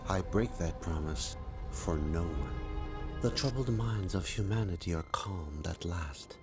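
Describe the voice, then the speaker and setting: Low-voiced solemn male machine intelligence. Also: music in the background. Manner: formal, grave, measured. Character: calm, solemn, zealously protective male satellite artificial intelligence. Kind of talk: scripted video game acting.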